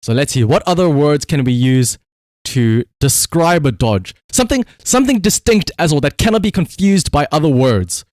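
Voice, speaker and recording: A man with a South African accent commentating on a live gaming stream and calling out voice commands in an excitable way. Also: a little high, moderate pace, no room sound, studio quality. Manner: animated, excitable, exclamatory. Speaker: livestreamer.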